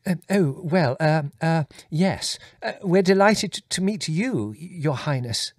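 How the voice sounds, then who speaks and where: A man's voice: on the high side, animated, slow pace, dry recording, clean studio sound, pitched above the performer's own voice. A man voicing a flustered, vague mother who talks in a fluttery way.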